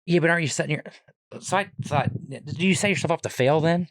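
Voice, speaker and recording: A man with a Pittsburgh accent, a guest on a podcast, explaining ideas quickly and animatedly and swearing casually. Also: fairly high pitch, fairly bright tone, no echo. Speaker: guest, economics professor and comedian.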